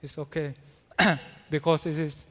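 A man who is not a native English speaker preaching a sermon, in halting English with frequent restarts. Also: fairly high pitch, steady tone, fairly bright tone. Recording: long hall reverb.

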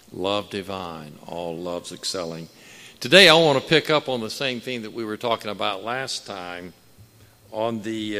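A booming male preacher delivers his sermon slowly, leaning hard on each phrase. His tone is bright and forceful, and his words ring out with a long hall reverb behind them. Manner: slow, emphatic preaching that builds in force.

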